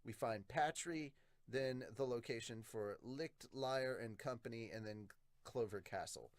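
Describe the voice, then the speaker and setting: Man, podcast host, mid-pitched, casual review commentary, dry-sounding recording. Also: a little slow, no room sound.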